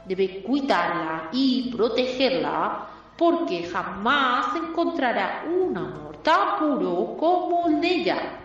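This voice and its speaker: Portentous fortune-teller, drenched in echo. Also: female voice, on the high side, very animated, highs cut off. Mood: solemn, prophetic. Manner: slow, portentous, dramatic delivery. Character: mystical fortune-teller woman, solemn prophetic seer. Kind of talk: absurd parody radio soap opera acting. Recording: heavily echoing, distant, music behind.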